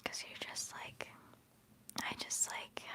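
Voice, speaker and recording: A young woman hosting a livestream, whispering softly as she rambles through chat messages and answers viewers fondly, holding back tears. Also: takes it slowly. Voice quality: soft whispering voice. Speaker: livestream host.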